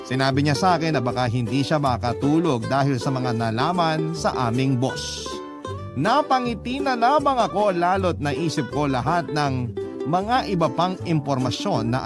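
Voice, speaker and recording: Man, radio drama narrator, confiding storyteller, slightly high voice, guitar music bed. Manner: confiding storyteller addressing the host.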